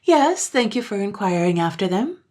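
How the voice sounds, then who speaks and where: A woman's voice: medium pitch, sing-song, normal speed, a little mellow, voice pushed up. A woman voicing a cheerful, prim young village girl who speaks politely.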